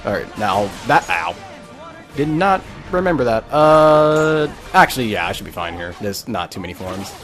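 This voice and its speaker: Lively man commentating on a video game. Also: fairly low pitch, normal speed, faint music behind. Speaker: gaming video creator. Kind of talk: casual live game commentary.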